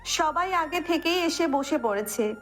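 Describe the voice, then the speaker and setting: A woman's voice: high voice, animated, unhurried, a bit bright. A woman narrator reading a scripted romantic story. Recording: music bed under the voice.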